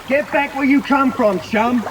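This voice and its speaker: An actor playing a rough, threatening but reasonable vigilante guard in a radio drama, talking in a gruff, colloquial way. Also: male voice, extremely high pitch, measured pace, slight background noise, low-quality recording. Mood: mocking, threatening.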